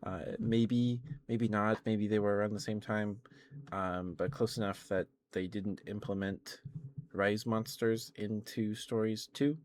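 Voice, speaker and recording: A man doing casual ASMR livestream chat into a close microphone, musing in a hesitant, unhurried way. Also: fairly low pitch, fairly even intonation, darkish tone, no echo.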